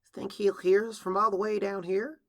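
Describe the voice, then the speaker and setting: Male reader as a young girl pony, high-pitched, upset, defiant, indignant, storybook character. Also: level tone, moderate pace, fairly bright tone. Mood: dazed, anxious.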